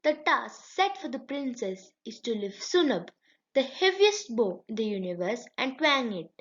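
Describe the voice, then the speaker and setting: A woman storyteller voicing a gentle, wise male sage with a formal way of speaking. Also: on the high side, sing-song, unhurried. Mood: gentle, approving. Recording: dull, lo-fi recording.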